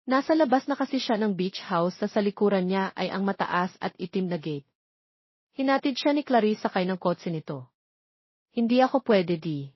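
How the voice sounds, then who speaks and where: A woman's voice: mid-range voice, animated, moderate pace, slightly rough, no echo, lo-fi sound. A woman narrating a romance story from a script, as a storyteller.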